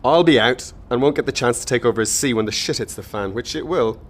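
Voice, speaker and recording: An actor in a radio drama playing a cold, ruthless male intelligence agent with clipped, deadpan delivery. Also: mid-range voice, fast, natural voice. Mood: tense, threatening.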